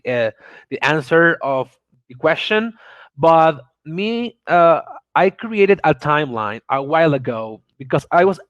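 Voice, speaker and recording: A man's voice: a little high, dry recording. A man in his thirties from Venezuela with a Venezuelan Spanish accent, giving an online conference talk in an eager way, often repeating words and restarting. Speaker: conference speaker. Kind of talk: online presentation.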